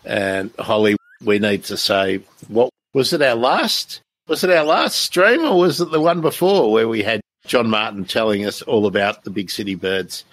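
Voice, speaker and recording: A man's voice: on the low side, normal speed, fairly bright tone, dry recording, rough recording. A man hosting a live stream in a casual, chatty, self-deprecating way. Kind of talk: casual chat.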